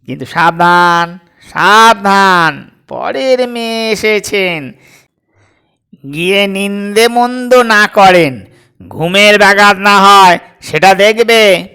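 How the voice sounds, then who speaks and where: Man playing a high-pitched, fussy old father. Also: bright, forceful tone, clean tone, full character voice. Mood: stern, fussing. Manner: scolding, emphatic, drawn-out delivery.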